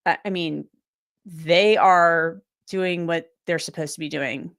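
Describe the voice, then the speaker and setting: A woman with a fairly low voice, a little strained from a cold, talks through viewer comments in a loose, chatty way, often restarting her sentences. The sound is close and dry. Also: fairly even intonation, takes it slowly, gravelly, a bit bright, dry recording. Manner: chatty, loose and thinking aloud, with many restarts and asides. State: recovering from a cold, voice a bit strained. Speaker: legal commentator and livestream host. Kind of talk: casual livestream chat reading viewer comments.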